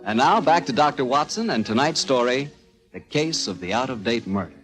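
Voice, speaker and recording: Animated male 1940s radio announcer. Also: mid-range voice, brisk, music in the background, rough recording. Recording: vintage 1940s radio recording.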